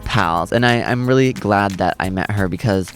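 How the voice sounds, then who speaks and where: A young man vlogging in a soft, chatty, reflective way, talking outdoors in a city, says "like" a lot. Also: lowish voice, normal speed, soft background music. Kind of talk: casual chat and vlog talk to camera.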